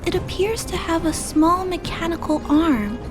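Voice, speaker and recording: A woman playing a gentle, compassionate little girl in a video game, hesitant and soft-hearted as she speaks. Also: extremely high pitch, expressive, normal speed. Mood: curious, gentle. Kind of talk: video game character acting. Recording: music under the voice.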